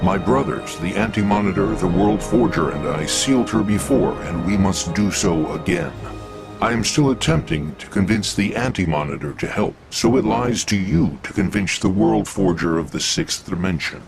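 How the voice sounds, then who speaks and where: Deep, grave otherworldly being. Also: male voice, creaky. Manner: grave, formal, measured. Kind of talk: video game cutscene acting. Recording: music behind the voice.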